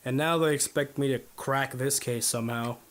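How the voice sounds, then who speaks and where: A man's voice: mid-range voice, fairly even intonation, moderate pace, fairly bright tone, natural voice. Man acting an amiable, overworked 1950s detective. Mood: modest, frank. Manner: hesitant, self-effacing, amiable. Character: overworked, modest, friendly new male homicide detective, 1950s. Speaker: actor in a radio drama. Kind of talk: noir radio drama acting.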